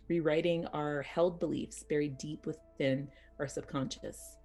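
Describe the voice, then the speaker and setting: A woman teaching yoga nidra as a guided meditation, speaking soothingly and unhurriedly in short phrases with pauses. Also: low-pitched, darkish tone.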